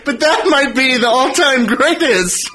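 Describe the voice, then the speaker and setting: A man with an American accent hosting a radio show, bantering and asking questions in an animated, teasing way. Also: very high-pitched, measured pace, a crowd in the background.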